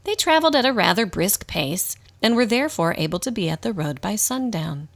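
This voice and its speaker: A woman acting as the narrator of a radio drama, telling a scripted story in a slightly formal, expressive storybook style. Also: mid-range voice, fairly quick, close to an everyday voice. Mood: storytelling. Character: storybook narrator, wry and unhurried.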